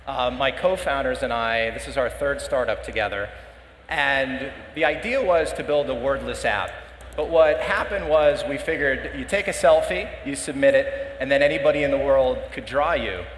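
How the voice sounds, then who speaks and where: A man, a startup co-founder on a panel, explaining in a measured way with some fillers. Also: medium pitch, fairly bright tone, a little hiss or hum. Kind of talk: panel conversation. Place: conference stage in a large hall. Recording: roomy hall reverb, faint ambience.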